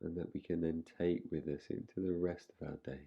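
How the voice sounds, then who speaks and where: Male meditation guide, deep creaky voice, unhurried phrasing with long pauses, slightly dull recording. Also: fairly even intonation.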